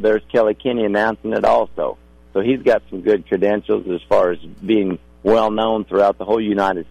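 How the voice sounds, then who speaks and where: Middle-aged male rodeo man for radio interview. Gravelly, husky, courteous and folksy. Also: fairly low pitch, a little mellow, no room sound, dull audio. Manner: polite, folksy. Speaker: rodeo contractor guest.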